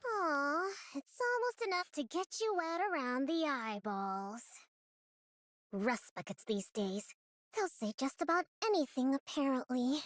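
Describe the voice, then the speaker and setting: A woman's voice: high-pitched, animated, unhurried, no echo, studio quality. A woman playing a cocky, mocking robot villainess with theatrical boasts in an English game dub. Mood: mocking, haughty. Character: cocky taunting robot villainess, one of four heavenly kings.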